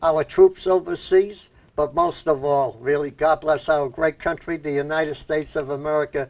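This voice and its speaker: A man's voice: on the high side, fairly even intonation, normal speed, no room sound. An older man from Brooklyn with a New York accent, hosting a local cable interview in a small studio, chatting in a folksy way. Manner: folksy, chatty, laughs easily. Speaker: host. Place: small TV studio set at a table.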